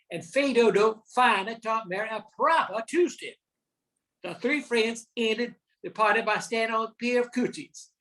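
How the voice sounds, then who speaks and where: A woman's voice: fairly high pitch, a bit bright, poor audio. An older woman reading scripted story narration for an amateur radio play.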